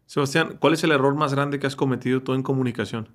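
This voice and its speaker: A man's voice: mid-range voice, fairly even intonation, fast. A man hosting a podcast, putting short questions to his guest and encouraging him along. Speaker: podcast host. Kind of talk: podcast interview.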